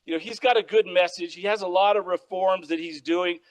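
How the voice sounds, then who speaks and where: A man lecturing puts on a scheming aristocrat plotting to return with an army, talking briskly and plainly. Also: sits high, fairly even intonation, natural voice.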